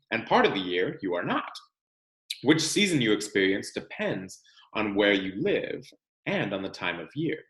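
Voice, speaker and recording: A man reading a children's science book aloud as a teacher, expressive and explanatory, speaking directly to young students. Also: mid-range voice, reverberant, rough recording.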